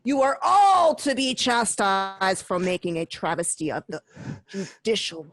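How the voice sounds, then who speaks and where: A woman voicing a stern veteran city judge in an amateur radio drama, with a sharp, scolding delivery. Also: medium pitch, animated, measured pace, no room sound.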